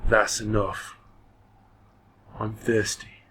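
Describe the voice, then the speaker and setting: Casting: male actor as greedy, menacing stepfather, lowish rough voice, drawn-out delivery, audio drama villain. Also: flat delivery, fairly bright tone, a little hiss or hum, rough recording. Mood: gruff. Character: menacing, greedy, whiskey-drinking fat stepfather.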